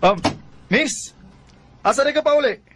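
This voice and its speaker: A man acting in a Bisaya radio drama as a smooth-talking, evasive young delivery driver with a Cebuano Filipino accent, given to exaggerated reactions and muttered asides. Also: very high-pitched, expressive, a little slow, no echo. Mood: startled, muttering. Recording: noisy radio drama recording.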